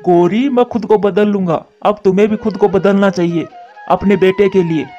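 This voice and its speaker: A man's voice: high voice, fairly even intonation, normal speed, mellow, lightly acted. A man voicing an earnest, admonishing crow, a concerned father in a cartoon. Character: male crow, concerned scolding father and husband. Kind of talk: Hindi cartoon voice acting. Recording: muffled narrow-band audio over music.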